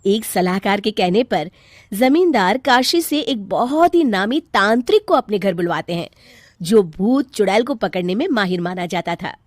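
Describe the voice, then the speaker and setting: A woman's voice: medium pitch, expressive, normal speed, no room sound, clean studio sound. A woman narrating a scripted children's story as the storyteller.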